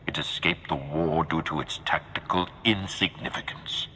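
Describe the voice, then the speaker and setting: An actor playing a grim, commanding dark lord in a video game, speaking in a grave, formal and measured way. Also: male voice, a bass voice, a little hiss or hum. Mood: stern, explaining. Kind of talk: scripted video game acting. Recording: game music behind the voice.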